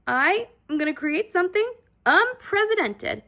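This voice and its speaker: A woman's voice: very high voice, big pitch swings, unhurried, bright, forceful tone, pitched above the performer's own voice, heavily acted. A woman voicing an eager, earnest little girl inventor in a children's storybook. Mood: excited.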